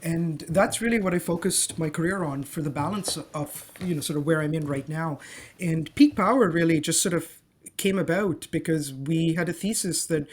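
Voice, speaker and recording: Slightly high-voiced man from Dubai. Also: normal speed. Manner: energetic, explanatory, frequent "um" and "you know". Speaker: guest, company co-founder. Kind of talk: remote interview answers. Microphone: calling in remotely.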